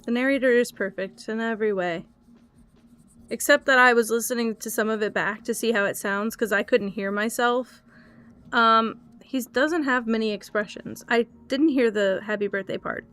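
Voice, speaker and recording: A young woman with a hoarse throat, chatting casually and swearing while she streams and sketches. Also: on the high side, normal speed, fairly bright tone. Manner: swearing, talkative, complaining. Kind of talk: casual livestream chat while drawing.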